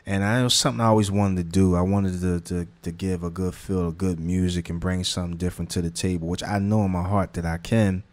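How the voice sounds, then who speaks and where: Low-voiced man with a laid-back slangy drawl. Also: quick pace, hardly any pauses. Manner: relaxed slangy talk with many restarts and fillers. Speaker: magazine founder. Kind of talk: casual interview conversation.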